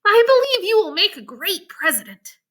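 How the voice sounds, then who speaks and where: A woman reads as a headstrong young farm girl from a storybook. The voice is very high and bright, swinging with earnest, emphatic feeling. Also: very animated, moderate pace, full character voice. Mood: admiring. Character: spirited, headstrong young farm girl who warms to reading.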